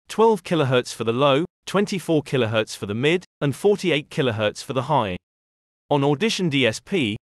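A man reading a scripted software tutorial in a measured, instructional way. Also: mid-range voice, no echo, studio quality. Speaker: tutorial narrator.

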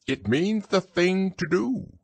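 A man's voice: high-pitched, animated, slow, vocal fry, slightly rough, no echo, pitched above the performer's own voice, exaggerated voice. A man voicing a pompous, self-important owl in a storybook, speaking formally in long words. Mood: pompous, explaining. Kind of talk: storybook character reading.

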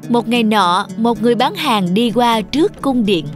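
A woman's voice: a little high, expressive, moderate pace. A woman reading scripted children's story narration as the narrator. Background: music under the voice.

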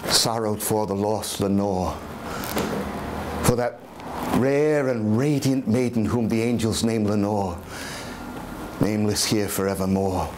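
A man's voice: fairly low pitch, takes it slowly, long pauses. An older man reciting as the haunted, grieving narrator of a gothic poem, declaiming theatrically. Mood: brooding dread. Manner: dramatic, declaiming. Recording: noisy room recording, camera at a distance.

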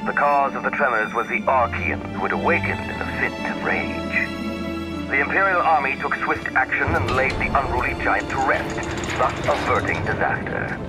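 With music clearly behind him, an actor voices a stern high commander from a video game. He is a man of middling pitch, delivering an official statement in a formal, authoritative way. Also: normal speed, close to an everyday voice.

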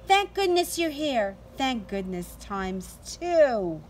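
A middle-aged woman doing an expressive, deliberate read-aloud storytime for young children. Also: a little high. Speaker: teacher reading to children. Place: outdoors in a sunny backyard. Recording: outdoor ambient noise.

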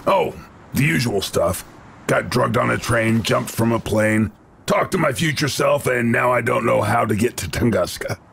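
An actor playing a cocky, wisecracking action hero in a video game, with a gravelly, deadpan delivery. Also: male voice, medium pitch, moderate pace, a little hiss or hum. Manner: deadpan, sardonic one-liners. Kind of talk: video game cutscene acting.

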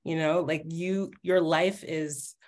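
Slow, low-voiced woman, halting and thoughtful. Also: fairly even intonation, darkish tone. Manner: halting, thoughtful, searching for words. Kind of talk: casual reflective panel talk. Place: home room, on a video call. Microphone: video call.

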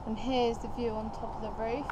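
A woman's voice: a little high, little pitch movement, a little fast, very noisy. A young woman chatting casually as she walks and explores, exclaiming at what she finds, recorded on a camera she carries. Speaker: urban explorer vlogger. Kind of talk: casual walk-and-talk narration. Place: outdoors and inside a derelict building.

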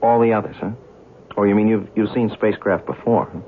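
An actor playing a young skeptical newspaper writer in a 1970s radio drama. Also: male voice, fairly low pitch, steady tone, fairly quick, natural voice. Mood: doubtful, flustered. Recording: tinny, noisy old radio broadcast.

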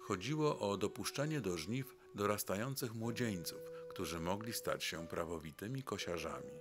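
A man reading scripted documentary narration in a measured, formal storytelling way. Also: fairly low pitch, lively intonation, noisy background. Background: music.

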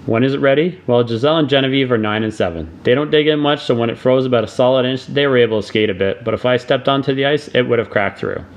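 A middle-aged man, reading a scripted voice-over tutorial in steady step-by-step instructions. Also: medium pitch, fast, few pauses. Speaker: DIY channel host.

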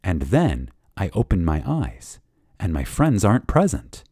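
Low, expressive man reading reverently. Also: breathy edge, no room sound, studio quality. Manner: reverent, emphatic, with deliberate pauses. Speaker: reader of a lecture. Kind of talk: dramatic reading of a spiritual lecture.

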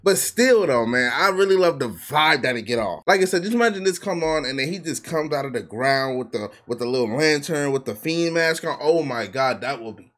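A young man hosting a casual reaction video from his bedroom on a headset mic, hyped and excitable. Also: fairly high pitch, brisk, few pauses. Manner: excitable, hype, sings lines back. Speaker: YouTube reaction host. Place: bedroom at home.

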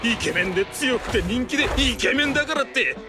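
A man playing a hot-headed, boastful gang punk in a Japanese game cutscene, with rough, blustering speech. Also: extremely high pitch, lively intonation, a little fast, music in the background. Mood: furious.

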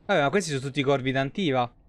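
A man's voice: mid-range voice, racing, no room sound. A young man from Italy doing casual live gameplay commentary, playful and foul-mouthed. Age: young adult. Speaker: live game streamer.

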